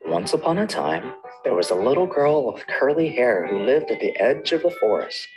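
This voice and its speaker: A male librarian leads a children's story time, telling fairy tales with a lively voice that swoops up and down. He sounds gentle and talks straight to the children, with faint music behind him. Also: medium pitch, normal speed, low-quality recording. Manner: gentle, sing-song storytelling, addresses children directly.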